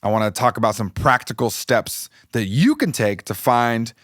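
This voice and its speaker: Podcast host: American man, middle-aged, slightly raspy, mid-pitched, informal motivational monologue, dry recording. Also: expressive, moderate pace, fairly bright tone, no echo. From United States, California. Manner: encouraging, informal, repeats phrases for emphasis. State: slightly raspy voice from a passing illness.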